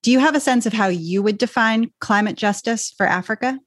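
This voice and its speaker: A woman's voice: fairly high pitch, fairly quick, dry recording. Curious woman interviewer, dry close sound. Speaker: podcast co-host. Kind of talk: interview questions.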